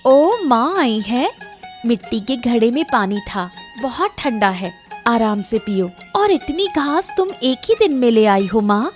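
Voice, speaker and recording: A woman voicing a caring, patient young daughter-in-law in a cartoon story, gentle and solicitous. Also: fairly high pitch, very animated, measured pace, soft background music. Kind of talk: animated moral story acting.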